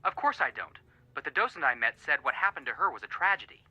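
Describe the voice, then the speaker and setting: An actor playing an earnest, excitable male detective friend with a punning streak in a video game, dramatic, heard through a phone filter. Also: on the high side, quick pace, no echo, dull audio, full character voice. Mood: earnest, enthusiastic.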